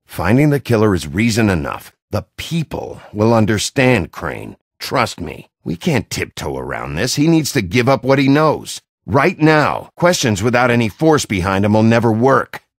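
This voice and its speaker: An actor voicing a gruff, hot-tempered wolf detective in a video game, rough voice, blunt and threatening. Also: male voice, on the low side, big pitch swings, moderate pace, few pauses, no room sound, clean studio sound. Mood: angry, forceful. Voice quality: gravelly, rough voice. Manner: blunt, threatening interrogator, curses freely.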